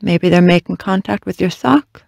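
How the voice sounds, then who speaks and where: A meditation guide leading a body scan in a slow, measured, gently instructing way with long pauses. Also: mid-range voice, vocal fry, no echo.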